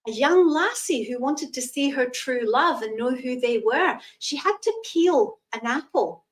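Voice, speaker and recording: A Scottish woman in her sixties giving a live tarot reading, chatting in a gentle, reassuring way and using terms of endearment. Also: high-pitched, recorded from a distance, low-quality recording. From Scotland. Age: 60s. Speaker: psychic tarot reader.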